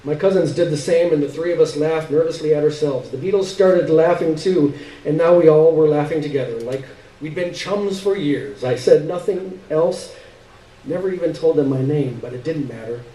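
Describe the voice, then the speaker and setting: A man giving an expressive live reading of a literary story, with dramatic, halting pauses. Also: a little high. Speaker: story reader. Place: live stage reading indoors. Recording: roomy, distant stage recording.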